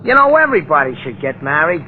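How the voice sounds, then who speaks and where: An actor playing a folksy, easygoing police sidekick as 1940s radio comic relief, chatty, ungrammatical and mock-pompous. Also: male voice, on the high side, big pitch swings, quick pace. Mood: joking, easygoing. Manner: chatty, colloquial, bad grammar, mock-pompous. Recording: muffled, noisy old radio recording.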